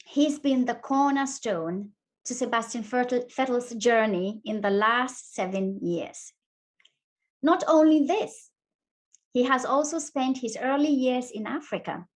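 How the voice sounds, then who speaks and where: A woman's voice: high voice, lively intonation, slow pace. A woman hosting a fireside interview series, welcoming her guest with enthusiastic, effusive praise. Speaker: host. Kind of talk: interview chat.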